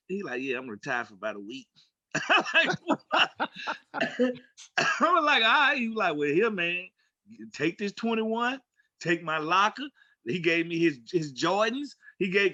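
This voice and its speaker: A man's voice: high-pitched, dry recording, lo-fi sound. A man co-hosting a casual sports talk show as a former player, telling stories emphatically and acting out the dialogue. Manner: animated storyteller, acts out quoted dialogue, emphatic.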